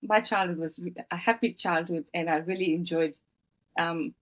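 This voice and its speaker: Guest, woman in her fifties from Fiji, lowish voice, halting and earnest storytelling. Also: steady tone. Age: 50s. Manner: hesitant, many fillers and restarts, earnest. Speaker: guest, author. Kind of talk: personal storytelling in interview.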